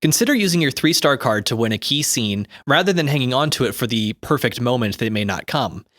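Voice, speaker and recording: A man delivers scripted, instructive narration at a brisk clip. His voice sits at a medium pitch, and he articulates his explanations in a very dry, close recording. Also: expressive, a bit bright, no echo. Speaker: co-host reading game rulebook commentary.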